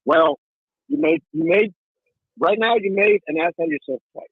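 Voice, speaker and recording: Combative husky Mexican-born man, phone-thin. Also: on the high side, moderate pace, dry recording, phone-quality sound. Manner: combative, stammers and repeats words, keeps asking "right?". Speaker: debater on a live stream. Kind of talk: heated argument.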